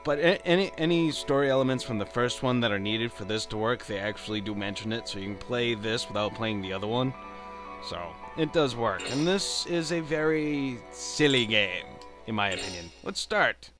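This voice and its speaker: A man's voice: mid-range voice, lively intonation, moderate pace, over music. Rambling man doing casual game commentary. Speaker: let's play host.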